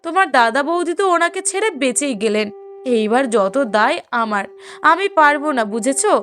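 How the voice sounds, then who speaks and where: Woman acting a shrill, scornful daughter-in-law, very high. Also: animated, brisk. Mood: scornful, bossy. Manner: sharp, scolding, complaining. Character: cruel, scornful young daughter-in-law, bossy and resentful. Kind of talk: cartoon moral story voice acting.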